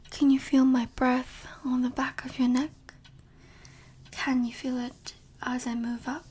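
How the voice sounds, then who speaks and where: Woman acting breathy, slow-talking seductive vampire professor. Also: high voice, heavily acted. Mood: seductive whispering. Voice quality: breathy, often dropping to a whisper. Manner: slow, sultry, teasing, softly commanding. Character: seductive, possessive female vampire professor, predatory and teasing. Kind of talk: intimate ASMR audio roleplay.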